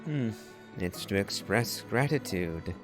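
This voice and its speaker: A man doing casual playthrough commentary and reading along, in a musing, unhurried way. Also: fairly low pitch, animated, music in the background.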